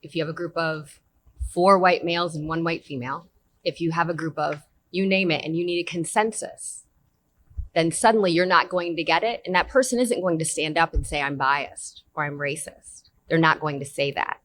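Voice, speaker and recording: A woman's voice: low voice, normal speed, fairly bright tone, slight background noise. A woman on a conference panel, speaking earnestly and emphatically. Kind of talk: panel discussion. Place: conference stage.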